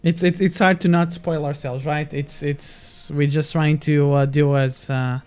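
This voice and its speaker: A man co-hosting a casual chat, speaking haltingly and often repeating his phrases. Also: on the high side, flat delivery, darkish tone, clear, resonant tone. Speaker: co-host. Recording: faint music behind the voice.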